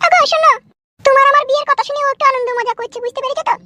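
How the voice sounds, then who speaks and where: A man's voice: extremely high pitch, steady tone, racing, noisy background. A man voicing a sweet but bossy young woman in love for a comedy cartoon, coaxing and scolding. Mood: chatty, coaxing. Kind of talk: amateur comedy cartoon dub.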